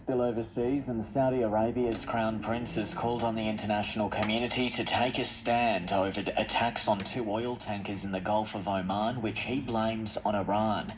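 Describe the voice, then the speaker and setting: A man's voice: on the low side, steady tone, normal speed, few pauses, muffled recording. A man with an Australian accent reading a scripted news bulletin, heard through a vintage valve radio. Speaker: news reader. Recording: band-limited vintage valve radio, clear noise.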